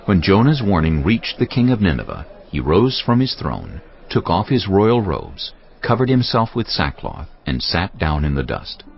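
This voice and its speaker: Deep, gravelly male scripture narrator. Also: sing-song, moderate pace, hardly any pauses. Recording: dull band-limited audio, faint music.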